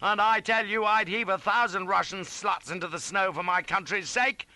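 A man voicing a callous, blustering British soldier rogue, shouting and bullying self-righteously in an audiobook adventure. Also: low voice, normal speed, no room sound, pitched below the performer's own voice. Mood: furious, bullying.

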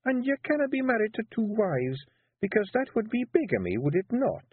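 A man voicing a young Scottish fairy wife, high-pitched and slow, sweet on the surface with steel underneath. The voice is husky, and the audio sounds tinny and dry. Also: big pitch swings, dry recording. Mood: stern sweet. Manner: dangerously sweet, measured.

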